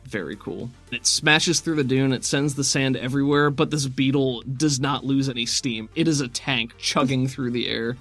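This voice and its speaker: A man with a mid-pitched voice works as game master for a tabletop role-playing game. He talks casually and describes the action in detail, with music playing behind him. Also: fairly even intonation, moderate pace, few pauses, fairly bright tone. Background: background music.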